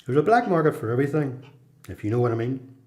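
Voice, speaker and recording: A man with a Belfast accent playing a guarded, streetwise repeat prisoner in a radio play, speaking in a confiding, measured, plain-spoken way. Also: medium pitch, very animated, a little mellow, close to an everyday voice. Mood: wry, guarded. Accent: Belfast Northern Irish.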